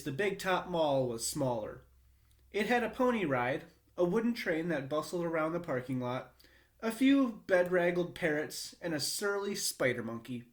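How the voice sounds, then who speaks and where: A man reading a children's book aloud in a measured, expressive storytelling manner with short pauses. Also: a little high. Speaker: read-aloud reader.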